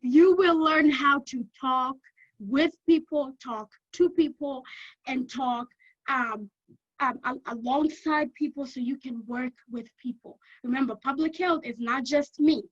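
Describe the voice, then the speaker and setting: A woman with a Kenyan accent giving an energetic informational talk to prospective students, often checking in with "okay?". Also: high-pitched, measured pace, muffled recording. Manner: energetic, keeps checking in with "okay?", repeats for emphasis. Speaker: university lecturer.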